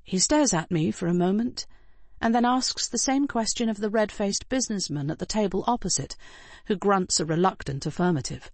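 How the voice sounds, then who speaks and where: A woman reading scripted first-person fiction as an audiobook narrator, in an expressive, dramatic way. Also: fairly low pitch, no echo.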